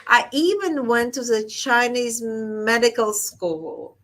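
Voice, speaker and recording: A woman from Latvia, a surgeon, speaking as an interview guest in a halting way, repeating words as she goes. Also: fairly high pitch, fairly bright tone. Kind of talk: interview conversation.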